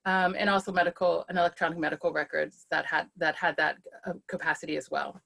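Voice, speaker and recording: A woman speaking on a webinar video call as a school-based behavioral health leader, earnest and steady, with frequent ums and restarts. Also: on the low side, fast talker, gravelly. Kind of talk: webinar panel talk.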